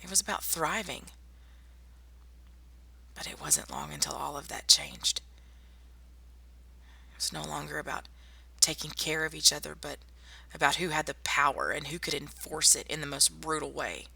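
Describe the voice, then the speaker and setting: A woman playing a tough, wry young survivor in a zombie apocalypse ASMR roleplay, speaking softly and intimately to one listener. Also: deep voice, fairly even intonation, moderate pace, creaky, pauses often, husky, heavily acted. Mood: probing, somber. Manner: soft-spoken, intimate, addressing one listener. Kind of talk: ASMR roleplay audio drama.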